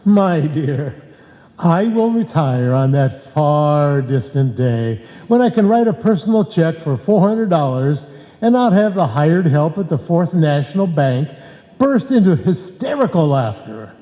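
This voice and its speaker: An actor playing a droll, sarcastic family doctor with grandiose, ironic delivery in an old-time radio comedy. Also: male voice, on the high side, sing-song, a little slow, dark tone. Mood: mock grand. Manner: grandiose, dry irony. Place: live stage performance in a hall. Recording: muffled, very echoey live hall recording.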